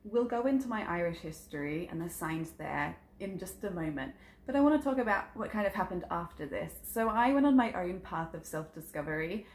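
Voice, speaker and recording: Low-voiced woman chatting in a roomy space. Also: brisk. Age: about 30. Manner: chatty and earnest, frequent ums, lively shifts in pace. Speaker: psychic content creator. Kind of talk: personal introduction vlog, storytelling about family and spirituality. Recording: roomy echo with faint background ambience.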